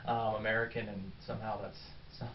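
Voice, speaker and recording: A man's voice: on the low side, flat delivery, brisk, a crowd in the background. A man hosting a podcast, upbeat and encouraging as he interviews a guest, with a few ums. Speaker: podcast host. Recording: echoey room, distant mic, dull sound, faint noise.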